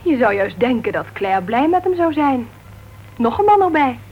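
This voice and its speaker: A woman's voice: high-pitched, big pitch swings, normal speed, breathy edge, exaggerated voice. An actress playing an outspoken, sociable woman crime writer in a Dutch radio play. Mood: earnest, opinionated. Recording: dull, noisy old radio recording.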